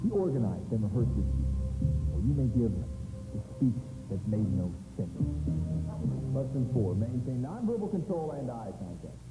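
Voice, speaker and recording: A man's voice: medium pitch, big pitch swings, moderate pace, natural voice. A man playing a brash, teasing stand-up comedian who gives a scripted lesson to an audience in a punchy, bossy showman's style. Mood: wry. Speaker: comedian presenting a training film. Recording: echoey hall, music behind.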